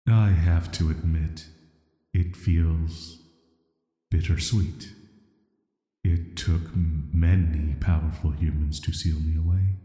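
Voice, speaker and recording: A man voicing an ancient banished villain in an audio roleplay, speaking softly, flatly and deliberately with a flirty, dangerous intimacy. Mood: calm, intrigued. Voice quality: soft, low voice. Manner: flat, slow, deliberate, intimate. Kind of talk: atmospheric audio roleplay, sleep aid. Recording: echoey voice with long reverb.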